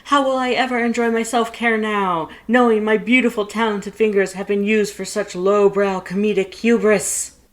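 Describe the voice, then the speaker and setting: Narrator putting on a high, booming, melodramatic skeleton. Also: steady tone, moderate pace, few pauses, close to an everyday voice. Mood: theatrical lament. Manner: over-exaggerated theatrical lamenting, grandiose. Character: hammy, self-important male skeleton, melodramatic and indignant. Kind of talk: fan fiction character voice.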